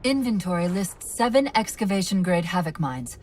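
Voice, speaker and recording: An actress voicing a sharp-witted female military AI in a game cutscene, crisp and dry. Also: lowish voice, animated, normal speed, a little hiss or hum, lightly acted. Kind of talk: video game cutscene acting. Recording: game audio with music.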